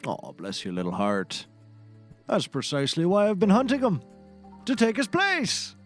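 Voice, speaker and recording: A man's voice: sits high, wildly swooping pitch, moderate pace, soft background music, exaggerated voice. A man playing a folksy, eccentric, self-serious storyteller in a scripted comedy, rambling in a grandiose way. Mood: smug, musing. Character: folksy, eccentric, self-serious male roast speaker telling tall tales. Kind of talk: scripted audio comedy acting.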